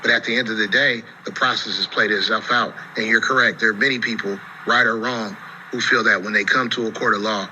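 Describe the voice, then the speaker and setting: A man's voice: lowish voice, steady tone, fairly quick, sharp tone, dull audio, slight background noise. An American man giving conversational legal commentary as an attorney, explaining things with frequent asides of "you know".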